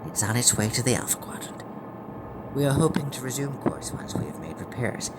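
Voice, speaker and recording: A resolute female starship captain acted by a woman in a science fiction audio drama. She speaks in a measured way, as someone weighing hard choices. Loud engine rumble and noise sit behind the voice. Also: lively intonation. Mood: reflective, resolved. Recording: noisy track with engine rumble.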